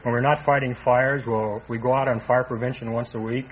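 A man's voice: medium pitch, steady tone, fairly quick. A man from Texas with an American accent, answering politely and hesitantly as a radio quiz contestant. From Texas, United States. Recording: noisy, muffled old 1950s radio recording.

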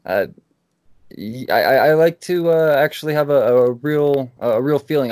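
A man's voice: lowish voice, darkish tone, no echo, studio quality. A man hosting a podcast interview, talking casually with frequent restarts and an upbeat tone. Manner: halting, restarts often, upbeat. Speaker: podcast host.

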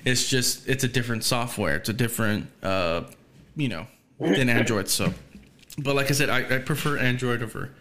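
A man in loose podcast chat, his voice sitting at an ordinary middle pitch. He rambles along in slang, stacking fillers and restarting his sentences. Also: normal speed, fairly bright tone. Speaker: podcast host. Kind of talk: casual chat.

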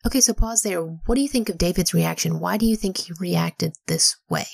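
Woman, soft husky whisper, Bible study reader, close and dry. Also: lowish voice, brisk, dry recording. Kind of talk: whispered scripture reading with study questions.

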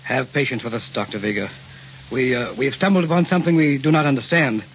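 An actor playing a determined, curious professor leading an expedition in an old-time radio drama, grave and earnest in delivery. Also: male voice, medium pitch, fast talker, slight background noise, natural voice. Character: determined, curious male scientist professor, middle-aged expedition leader. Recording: muffled vintage radio recording.

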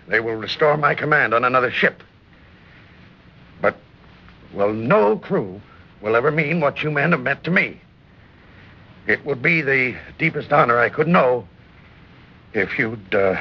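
An actor playing a proud, earnest, warm-hearted merchant captain in an old-time radio drama, with a gravelly, husky voice. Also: male voice, mid-range voice, animated, measured pace, pauses often. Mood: warm, moved. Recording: dull vintage radio recording.

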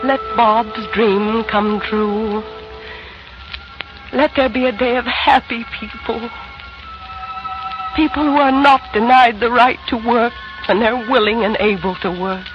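A woman's voice: a little high, fairly even intonation, slow. An anguished, idealistic wife prays in an old radio play. The recording is dull, with music playing behind her. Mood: fervent prayer. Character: anguished young wife facing death, prayerful and idealistic. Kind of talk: 1940s radio acting.

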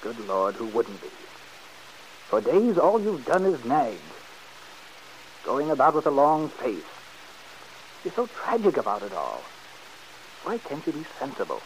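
An actor playing an impatient, cold-blooded schemer in an old-time radio soap, brusque, exasperated and condescending. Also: male voice, mid-range voice, huge pitch swings, unhurried, long pauses, low-quality recording. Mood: irritated. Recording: hissy vintage radio broadcast recording.

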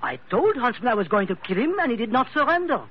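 A man's voice: very high-pitched, sing-song, fast. Jaunty ruthless frenchman, french accent, swoops up and down, muffled old radio. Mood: blithe. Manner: blithe, theatrical, formal phrasing. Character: jaunty, coolly ruthless French insurance investigator. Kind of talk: 1940s radio adventure acting. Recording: muffled, hissy vintage radio.

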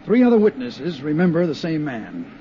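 A pedantic arson inspector lists details in a slow, precise way. The sound is a muffled lo-fi old radio recording with clear hiss behind it. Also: male voice, a little high, wildly swooping pitch. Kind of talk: 1950s radio drama acting.

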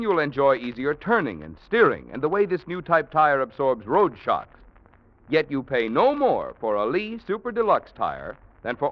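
An American man as a 1940s radio announcer, reading a scripted sponsor message with polished, emphatic salesmanship. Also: a little high. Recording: dull old radio recording.

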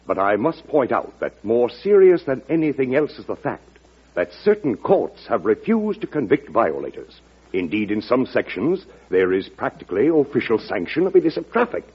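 Grave, husky statesman. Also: mid-range voice, sing-song, moderate pace. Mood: earnest, emphatic. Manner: formal, grave, emphatic. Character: formal, loyal, principled male Secretary of State. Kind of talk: old-time radio drama acting. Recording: muffled vintage radio drama recording.

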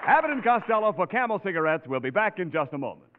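A man's voice: high-pitched, very animated, fast talker. An American man announcing a 1940s radio show and reading its sponsor copy. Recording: tinny 1940s radio broadcast, music behind.

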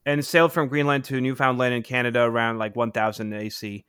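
A man's voice: medium pitch, flat delivery, brisk, a bit bright, clean tone, no echo. Rambling podcast co-host man, dry sound. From Poland. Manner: rambling, many fillers and restarts. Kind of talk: casual chat.

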